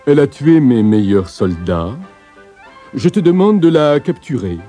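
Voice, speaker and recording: Scheming storybook king, voiced by a male reader: slow, sly, pitch swooping widely. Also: big pitch swings, dark tone, faint music behind. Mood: commanding. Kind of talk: storybook reading.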